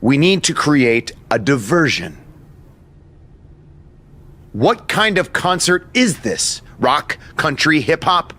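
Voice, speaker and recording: Casting: conceited movie star, high male voice, big pitch swings, indignant and emphatic, comedic game acting. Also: lively intonation, slow pace, pauses often, pushed and bright, exaggerated voice. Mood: haughty. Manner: haughty, exasperated, emphatic. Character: vain, indignant male Hollywood star, easily offended.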